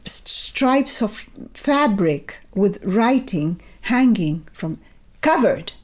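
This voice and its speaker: An older woman from Chile with a Chilean accent, a native Spanish speaker, talking in a measured, thoughtful way as an interview guest on a remote call. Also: mid-range voice, a little mellow. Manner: thoughtful, measured storytelling with repeated restarts. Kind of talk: reflective conversational interview. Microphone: remote video call.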